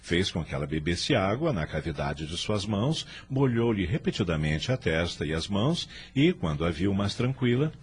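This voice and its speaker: A man's voice: low voice, very animated, normal speed, few pauses, husky. A man with a Brazilian accent narrating a radio drama from a script in a solemn storytelling delivery.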